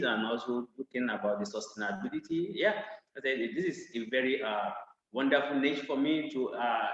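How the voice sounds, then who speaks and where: A man introducing his research on a video call, earnest and halting with frequent pauses. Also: mid-range voice, a bit bright. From Nigeria. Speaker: panelist, research scientist. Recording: echoey, dull video-call audio.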